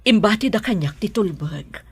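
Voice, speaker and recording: A middle-aged woman with a Filipino accent playing a gentle, worried mother in an audio drama, with a husky voice. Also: medium pitch, animated, moderate pace. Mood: gentle advice. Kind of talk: Ilocano audio drama acting.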